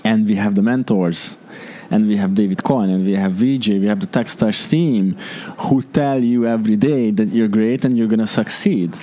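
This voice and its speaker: A man's voice: lowish voice, moderate pace, dark tone. A young man from Hungary with a Hungarian accent, speaking English as a second language, answering interview questions in an earnest way and searching for words. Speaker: startup founder.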